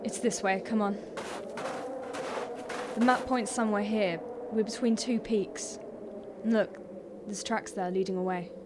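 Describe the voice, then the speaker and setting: A woman's voice: medium pitch, steady tone, a little slow, very noisy, natural voice. An actress playing a determined young woman in a horror drama, speaking firmly and urgently. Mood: tense, alert. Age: young adult. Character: determined young woman searching for her missing sister. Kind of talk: dramatic voice acting in a horror motion comic.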